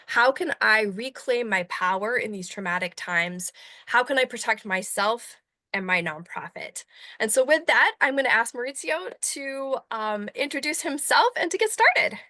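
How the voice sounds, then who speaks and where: A woman with a fairly high, very bright voice hosts an online webinar. She is upbeat and enthusiastic as she welcomes people and introduces things. The sound is dry, with hardly any room in it. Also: animated, normal speed, no room sound. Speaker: webinar host, nonprofit coach.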